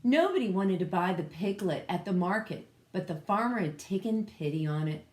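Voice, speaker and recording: A woman teacher reading a picture book aloud to children, expressive and often putting questions to her listeners. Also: on the low side, normal speed, slight background noise.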